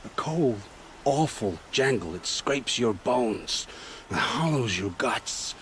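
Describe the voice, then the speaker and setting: Scared worn-out scrounger informant in a game, grim halting storyteller, mid pitched, noisy background ambience. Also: male voice, lively intonation, fairly bright tone. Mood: haunted dread. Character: frightened, world-weary captive scrounger, man turned reluctant informant. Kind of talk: dramatic video game dialogue.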